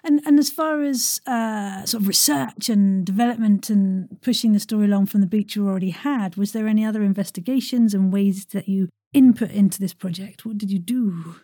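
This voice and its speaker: A woman's voice: mid-range voice, a little fast, hardly any pauses, mellow, no echo, studio quality. A woman hosting a chatty interview in an effusive, encouraging manner. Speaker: podcast host, interviewer.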